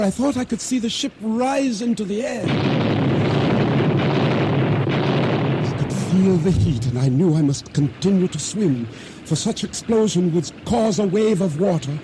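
An actor playing a solemn island boatman, narrating his ordeal at sea in measured, formal, deliberate words for a radio drama. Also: high voice, expressive, very noisy. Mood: urgent, anguished. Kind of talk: dramatic first-person narration for radio theater. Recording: radio drama with music underscore.

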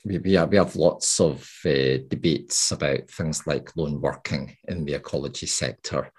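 A man's voice: a bass voice, normal speed, husky. A man from the British Isles hosting an interview, talking hesitantly with repeated words and many "yeah"s and "you know"s. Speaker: podcast host. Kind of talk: casual interview chat.

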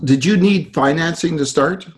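A man hosting a podcast, reading a polished intro and asking interview questions in a measured way. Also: a little high. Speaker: podcast host.

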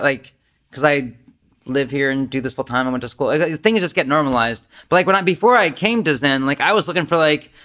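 A man's voice: fairly high pitch, a bit bright. A man speaking as a Zen teacher, giving a casual, conversational talk to a group in a rambling, self-deprecating way with joking asides. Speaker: Zen teacher and podcast host.

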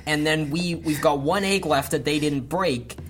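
A player in a tabletop roleplay, talking excitably in long rambling runs. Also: mid-range voice, normal speed, slight background noise.

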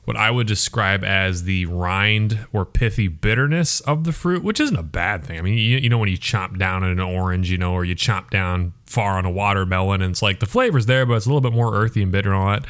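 A man's voice: sits low, fairly quick, hardly any pauses, fairly bright tone. A man reviewing drinks in casual chat, talking in a wordy, analytical and descriptive way. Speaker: beer reviewer and show regular.

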